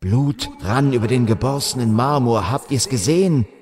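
A man's voice: medium pitch, moderate pace, darkish tone, natural voice. A man voicing a shaken soldier, agitated and insistent. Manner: agitated, urging others to look.

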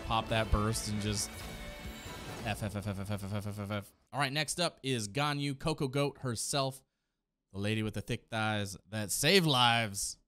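A man's voice: lowish voice, moderate pace, fairly bright tone. An American man in his thirties giving casual, enthusiastic, slangy reaction commentary close to a microphone. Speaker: streamer reacting to game character trailers. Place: small indoor streaming room. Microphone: close desk microphone. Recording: game music behind the voice. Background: music from game trailers.

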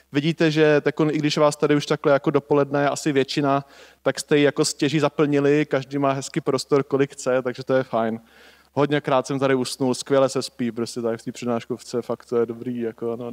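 A man's voice: on the high side, smooth. A man in his thirties hosting a conference, speaking into a handheld mic in a large lecture hall, loose and chatty with many fillers. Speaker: conference host. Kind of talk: casual welcome speech. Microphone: handheld microphone.

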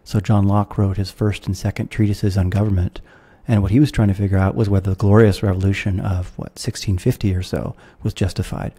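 A man in his thirties with an American accent, a guest on a podcast interview who explains history in a measured, lecturing way over a video call. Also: low voice, little pitch movement, dark tone, breathy edge. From United States. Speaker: guest philosopher. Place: room at home with guitars. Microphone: studio mic with headphones, video call.

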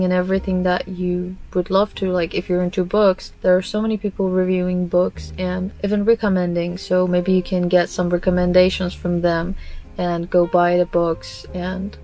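A young woman whose first language is Farsi talks to the camera with a lowish, fairly level voice, sprinkling her sentences with "like" and "uh". She sits in a small, dim indoor room, and soft music plays quietly behind her. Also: little pitch movement, normal speed. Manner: chatty, frequent fillers like "like" and "uh". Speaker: language-learning YouTuber. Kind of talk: casual talk to camera.

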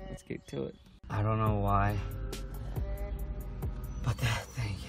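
A young man with a slightly raspy, hoarse voice chats casually with lots of ums while showing a car install in a how-to vlog. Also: medium pitch. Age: young adult. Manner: chatty and informal, with frequent ums. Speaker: car vlogger. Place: outdoors on a porch, then inside a parked car.

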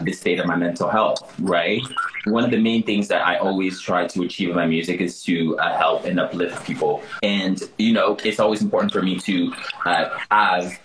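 A man from the Democratic Republic of Congo, a singer-songwriter, speaking in a calm, measured, thoughtful way on a video call. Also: low-pitched, a little hiss or hum. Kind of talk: casual video-call conversation. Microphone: laptop or phone camera on video call. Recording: echoey room on a video call.

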